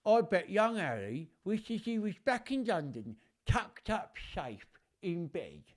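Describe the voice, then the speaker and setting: A man voicing a bitter, gruff old soldier on guard duty who barks and grumbles. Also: high voice, sing-song, takes it slowly, voice pushed up, full character voice. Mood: gruff, grumbling. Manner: growling, grumbling, barking.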